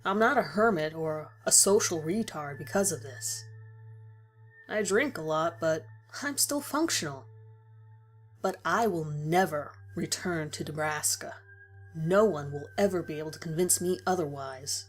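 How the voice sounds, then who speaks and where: Low-pitched woman narrating a horror story over music. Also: lively intonation, a little slow. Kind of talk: dramatic first-person scripted narration. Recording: music behind the voice.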